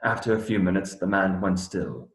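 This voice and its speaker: An actor playing a young minstrel, cheery by nature but troubled, earnest and folksy in a radio play. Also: male voice, low voice, normal speed. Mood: grieving. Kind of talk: theatrical radio play acting. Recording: roomy echo.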